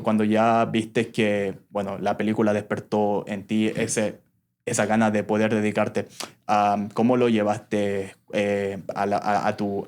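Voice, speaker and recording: A male podcast host speaks in a low, even voice that barely rises or falls. He phrases his questions hesitantly, circling back and restarting. The tone stays casual and conversational. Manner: hesitant, many restarts and fillers. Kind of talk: casual interview hosting.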